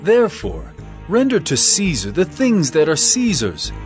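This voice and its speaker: An actor playing Jesus as a calm, authoritative teacher in a dramatized scripture reading, with measured, solemn delivery. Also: male voice, on the high side, soft background music.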